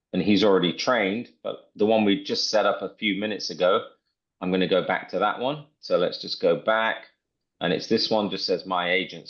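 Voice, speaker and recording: A man presenting a software demo in an informal, step-by-step tutorial style. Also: sits low, dull audio. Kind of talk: casual screen-share tutorial walkthrough.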